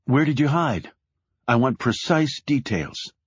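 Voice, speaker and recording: A man voicing a wary, sharp-tongued woman journalist in an audiobook. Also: medium pitch, lively intonation, a little slow, slightly rough, no echo, dull audio, voice pushed up. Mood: probing.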